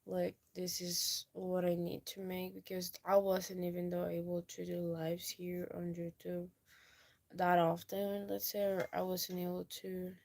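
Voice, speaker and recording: Young woman, slow drawl, mellow tone, meandering talk. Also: on the low side, monotone, gravelly. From Peru. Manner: meandering, lots of fillers. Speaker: YouTuber. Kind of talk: rambling casual chat.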